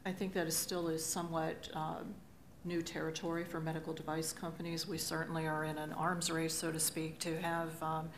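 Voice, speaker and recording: Low, flat-voiced woman on a panel. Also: slight background noise, poor audio. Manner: measured, thoughtful, with fillers. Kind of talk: panel discussion. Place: conference stage. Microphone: table microphone.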